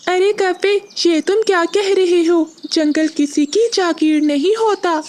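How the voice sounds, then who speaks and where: A woman's voice: extremely high pitch, unhurried, darkish tone, pitched above the performer's own voice. A woman voicing a small, plucky, indignant male parrot in a children's cartoon.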